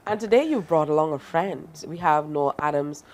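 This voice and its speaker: A woman's voice: sits low, animated, normal speed, darkish tone. A woman hosting a morning talk-show interview, playful and teasing with her guests.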